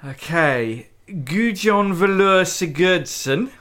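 A man co-hosting a casual podcast chat, dry and deadpan, tripping over hard names. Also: sits high, takes it slowly. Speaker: podcast co-host.

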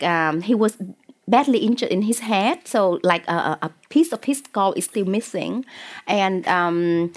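A woman with a Vietnamese accent, an author and poet talking in an interview, hesitant with many fillers and restarts. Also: medium pitch. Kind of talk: conversational interview.

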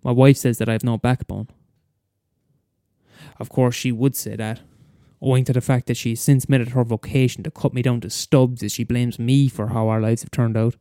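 A man's voice: mid-range voice, level tone, moderate pace, warm tone, no room sound, clean studio sound, natural voice. A man playing a bitter, weary middle-aged schoolteacher in a wry, wordy confessional story monologue. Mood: uneasy, resigned. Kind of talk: first-person dramatic monologue.

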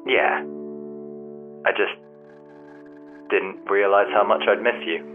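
A man's voice: on the low side, slow. An actor playing an earnest, lonely, anxious man in an audio drama, speaking hesitantly and wistfully through a telephone filter. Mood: wistful, uneasy. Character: anxious, lonely man missing his faraway friend, earnest. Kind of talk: naturalistic audio drama acting, intimate conversation. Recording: tinny phone line, music underneath.

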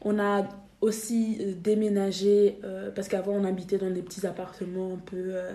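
A woman's voice: mid-range voice, level tone, normal speed, dark tone, echoey room. A young woman from Belgium, a podcast guest talking casually, hesitating with many fillers and restarts. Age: young adult. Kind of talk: casual interview.

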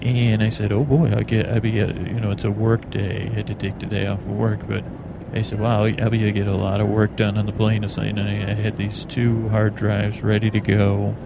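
A man hosting a bedtime podcast in a meandering, halting ramble, stammering and repeating words. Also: lowish voice, gravelly. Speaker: podcast host. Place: on an airplane in flight. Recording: steady airplane cabin noise behind voice. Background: airplane cabin drone.

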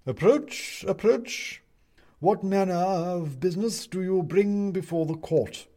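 A man voicing a pompous ghost judge in an owl ornament, with declamatory, drawn-out courtroom oratory, in a storybook reading. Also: sits high, mellow, voice pushed up. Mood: imperious, booming.

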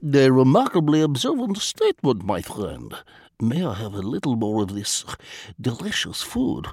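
A man's voice: high voice, big pitch swings, extremely slow, clear, resonant tone, dry recording, clean studio sound, pitched above the performer's own voice, heavily acted. A man voicing a wily, self-mocking foreign spy who speaks formal English and drops his articles. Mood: smooth evasive.

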